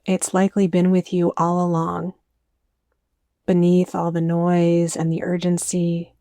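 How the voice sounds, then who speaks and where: A woman leading a guided meditation, speaking gently and soothingly in scripted, softly inviting phrases. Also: fairly low pitch, completely flat delivery, a little slow, dry recording.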